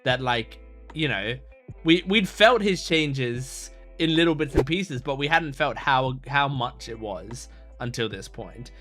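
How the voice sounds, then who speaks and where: A man's voice: medium pitch, expressive, unhurried, fairly bright tone, faint music behind. A man hosting an anime reaction video, talking casually and thoughtfully with lots of "like" fillers and restarts. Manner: rambling, heavy use of "like" fillers and restarts; earnest, thoughtful. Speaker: anime reaction channel host. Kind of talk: casual reflective commentary.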